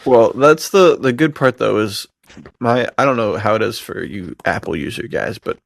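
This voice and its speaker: A man's voice: lowish voice, big pitch swings, normal speed, creaky, no echo, clean studio sound. A man chatting casually as a podcast co-host, with a slangy, self-deprecating way of talking. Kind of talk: casual chat.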